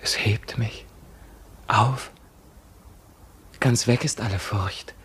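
An actor playing a frail, devout young visionary in a classical radio drama, speaking in a solemn, halting, ecstatic way. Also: male voice, fairly low pitch, fairly bright tone, husky, whispered, exaggerated voice. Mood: exalted, fading.

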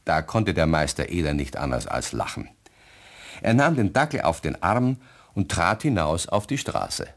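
A man's voice: low voice, sing-song, normal speed, full character voice. A man narrating a scripted children's story in a German radio play, speaking vividly to young listeners. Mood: lively telling. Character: male storyteller addressing children.

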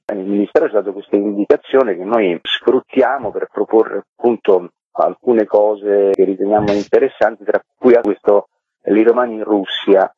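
A male interview guest speaks conversationally down a narrow phone line. His voice is rather low and husky, with a dry sound. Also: no room sound. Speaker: interview guest, stage actor and director.

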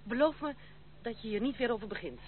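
A woman's voice: fairly high pitch, animated, fast, breathy edge. An actress playing a proud, stern young woman in a Dutch radio drama, speaking formally and resolutely. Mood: urgent. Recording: tinny, noisy old radio play recording.

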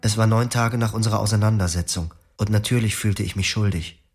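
An actor playing a troubled, guilt-ridden young husband who narrates a literary radio drama in a brooding, confessional way. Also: male voice, fairly low pitch, steady tone, a little fast, faint music behind, lightly acted. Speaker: actor, first-person narrator.

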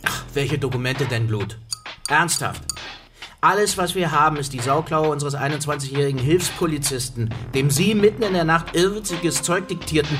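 A man plays a jealous police detective in a radio thriller. His voice sits fairly high for a man and drips with bitter, wordy sarcasm, with music clearly behind it. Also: normal speed, lightly acted. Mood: exasperated, scornful. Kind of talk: radio drama acting.

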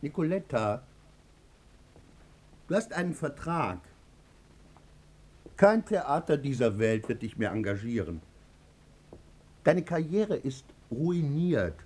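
An actor in a German radio drama playing a wounded, vain husband haunted by shame, halting and intense. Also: male voice, mid-range voice, very animated, darkish tone. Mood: urgent scolding.

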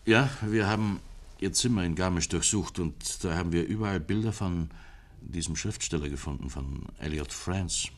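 Low-pitched detective, courteous, earnest, methodical, slightly hesitant, old German radio drama. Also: male voice, moderate pace. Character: polite, deliberate male police investigator, measured and earnest. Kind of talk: 1950s German radio play acting.

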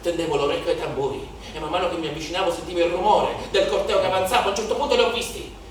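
A man acts out a young street rioter in a theatrical monologue, chanting his account in obsessive lists. His voice sits fairly high and rings through a very reverberant space. It is picked up from a distance under clear background noise. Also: fairly even intonation, rapid-fire, natural voice. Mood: frenzied, exhilarated. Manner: incantatory, repeating phrases in lists. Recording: echoey, noisy live theatre recording from afar.

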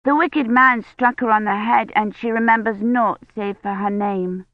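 A woman acts out a small storybook girl with a high, eager voice. She is trusting and insistent, speaking artlessly in old-fashioned phrases. The recording is dry and a little dull. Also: fairly even intonation, unhurried, no room sound, close to an everyday voice. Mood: matter-of-fact, insistent. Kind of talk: audiobook character acting.

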